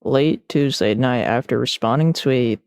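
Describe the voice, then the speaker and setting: A man's voice: mid-range voice, moderate pace, darkish tone, clear, resonant tone, dry recording, clean studio sound. A man hosting a reaction video, giving casual, slangy commentary and muttered asides.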